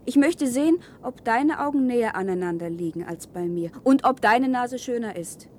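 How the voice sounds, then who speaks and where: Woman acting curious teenage girl, higher voice. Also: expressive, moderate pace, darkish tone, a little hiss or hum, lightly acted. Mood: insistent. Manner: direct, probing, naive. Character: curious, distrustful fifteen-year-old wild girl. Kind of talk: German science-fiction radio drama acting.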